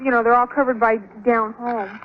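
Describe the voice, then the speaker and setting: A woman's voice: a little high, little pitch movement, normal speed. A young woman calling a radio show by telephone, giving brief conversational replies. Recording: very noisy, dull telephone line.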